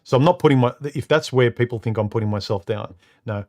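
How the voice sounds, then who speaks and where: A man with a deep, slightly muffled voice hosts a live stream from home. He speaks casually and haltingly, often repeating a word before he finds his sentence. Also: darkish tone. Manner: halting, repeats words, many uh and um fillers. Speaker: live stream host reading comments. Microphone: studio microphone.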